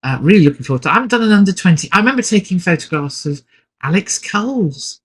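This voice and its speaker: Woman, podcast co-host, hesitant, lots of fillers, lively up-and-down pitch. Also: quick pace, slightly rough. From England. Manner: hesitant, lots of ums and uhs. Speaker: podcast co-host, sports photographer. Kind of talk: casual chat.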